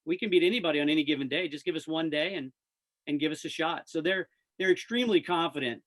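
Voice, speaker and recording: A man with an American accent talks very quickly in a slightly high voice. He sounds like a sports broadcaster relaxing into informal, upbeat conversation as an interview guest. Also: a bit bright, low-quality recording. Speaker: radio play-by-play announcer, guest. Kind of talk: casual sports interview chat.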